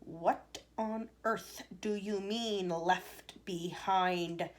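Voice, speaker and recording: A woman's voice: medium pitch, sing-song, moderate pace, natural voice. Woman doing a dry, blunt storybook father.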